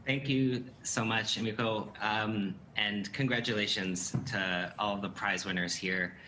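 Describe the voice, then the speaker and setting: A man's voice: on the low side, fairly even intonation, unhurried, noisy background. A man in his thirties with an American accent, chattily moderating a panel over a video call. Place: indoor room at home on a video call. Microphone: computer video call.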